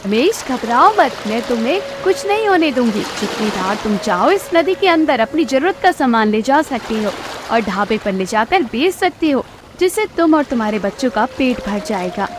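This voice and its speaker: A woman voicing a kind river mermaid in a cartoon fairy tale, speaking in a gentle, reassuring, earnest way. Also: fairly high pitch, very animated, moderate pace, noisy background.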